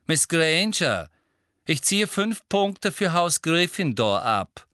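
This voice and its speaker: A man voicing a coldly authoritarian middle-aged female teacher in an audiobook, with a honey-sweet, condescending, deliberate delivery. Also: a little high, sing-song, no room sound, studio quality, voice pushed up. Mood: cold, stern.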